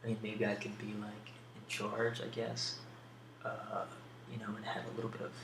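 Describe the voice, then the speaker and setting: A soft-spoken man answering questions in a casual ASMR style, with a hesitant, musing delivery and pauses between thoughts. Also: fairly low pitch, completely flat delivery, vocal fry. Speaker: ASMR video creator answering a question tag. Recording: roomy echo with faint background hiss.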